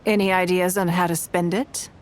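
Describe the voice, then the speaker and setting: A woman voicing an elegant, shrewd and courteous businesswoman in scripted video game dialogue, speaking in a poised, measured and articulate way. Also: lowish voice, little pitch movement, close to an everyday voice. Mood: curious, inviting. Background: background music.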